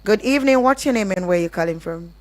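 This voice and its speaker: A woman's voice: medium pitch, expressive, fast talker. A woman with a Nigerian accent hosting a live radio phone-in, reading messages and talking with callers. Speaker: radio call-in show host.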